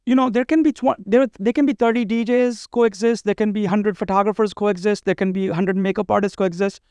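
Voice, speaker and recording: A man's voice: sits high, fairly even intonation, a little fast, darkish tone, clear, resonant tone, no echo. A man talking casually as an interview guest, earnest and often repeating his phrases. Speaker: podcast guest, bridal expo organizer.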